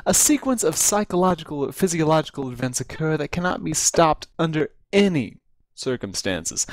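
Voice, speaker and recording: A man giving a dramatic reading of a humorous first-person story, with deliberate, theatrical phrasing and drawn-out emphasis. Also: fairly high pitch, no echo. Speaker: amateur reader of a comic story. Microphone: close computer mic on voice chat.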